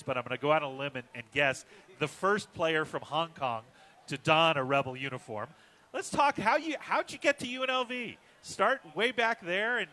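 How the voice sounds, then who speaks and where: Bright-voiced man hosting a sports show. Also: a little high. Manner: affable, reads live ad copy. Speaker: radio show host. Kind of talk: live sports radio coaches show. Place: hotel casino restaurant.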